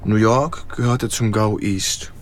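Troubled young soldier, lowish voice, hesitant confiding manner, intimate radio play, slight background noise. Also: male voice, steady tone, takes it slowly. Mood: sober, remembering. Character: troubled young German-American soldier confiding in his lover. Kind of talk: intimate radio drama dialogue.

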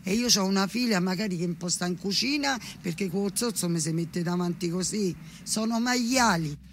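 Middle aged guy, pretty high voice, blunt rambly street interview, slight background noise. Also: moderate pace. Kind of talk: casual street interview answer.

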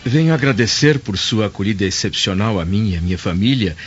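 An actor with a Brazilian accent playing a stern, protective Roman senator in a radio drama, speaking with formal, measured diction. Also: male voice, lowish voice, animated, lightly acted. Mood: courteous, formal. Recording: dull lo-fi radio drama with music.

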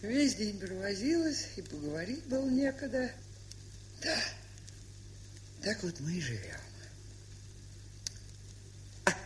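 An older woman playing a kindly, chatty village aunt in an audio play, telling stories in a plain, folksy way. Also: mid-range voice. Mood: fond scolding. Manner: folksy, unhurried storytelling with fond scolding. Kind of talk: audio play monologue and dialogue. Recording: hissy, humming 1970s record.

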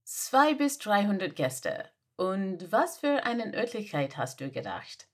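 A flustered young female assistant, read by a woman meets each demand with baffled, exasperated questions. She speaks slowly and deliberately, and her pitch swings widely up and down. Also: very animated. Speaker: podfic reader. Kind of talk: German audio drama reading.

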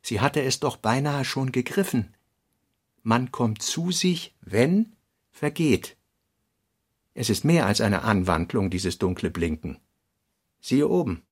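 A man reads fragmentary literary prose for a radio production. His voice sits at a medium pitch and he speaks with a Standard German accent. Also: lively intonation, slow pace, pauses often, slightly rough. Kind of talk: scripted literary reading, fragmentary notes.

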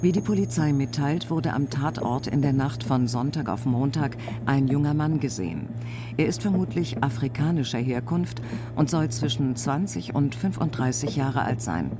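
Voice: mid-range voice, expressive, moderate pace, over music, lightly acted. A performer playing a formal reader of a police report, factual and official, in a radio drama. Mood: neutral, official.

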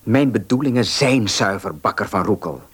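An actor playing an earnest, socially awkward country gentleman in a radio play, speaking formally, courteously and a little haltingly. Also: fairly low pitch, expressive, husky. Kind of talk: Dutch radio play acting.

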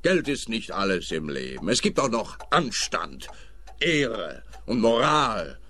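A man's voice: on the low side, sing-song, takes it slowly, bright, forceful tone, a little hiss or hum, exaggerated voice. A man with a Swiss German accent playing a self-important, muddled police officer in a radio play. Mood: pompous. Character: dim, pompous male police officer.

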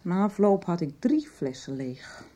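An actress in a radio soap playing a troubled, wry mother and recovering alcoholic, middle-aged and halting. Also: on the low side, very animated, warm tone.

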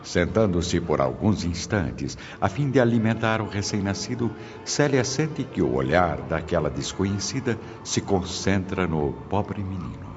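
Male narrator, deep voice, sweeping intonation, solemn storytelling, dull audio, prominent music. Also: big pitch swings, unhurried, few pauses. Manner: dramatic, solemn, formal. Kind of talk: scripted radio drama narration.

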